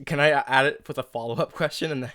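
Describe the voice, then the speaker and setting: A man's voice: mid-range voice, quick pace, a bit bright, no room sound. A man hosts a casual interview in a clean, resonant voice. His phrasing is informal and a little hesitant as he talks as a creator. The sound is dry, with no room to it. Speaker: interviewer and audio drama creator.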